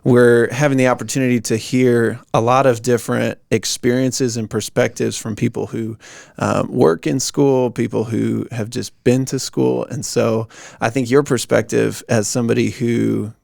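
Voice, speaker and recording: Male podcast host, mid-range voice, gravelly edge, earnest and halting, dry sound. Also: medium pitch, steady tone, darkish tone, dry recording. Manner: earnest, halting, generous with praise. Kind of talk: podcast interview.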